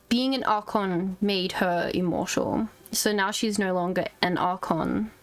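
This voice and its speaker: A woman chatting casually as a game streamer, musing aloud in an informal, offhand commentary. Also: mid-range voice.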